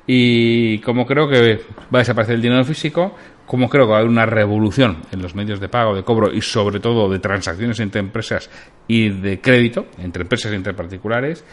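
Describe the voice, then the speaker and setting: A man hosting a solo podcast, giving opinionated commentary on the news and putting questions straight to his listeners. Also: lowish voice. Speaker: podcast host.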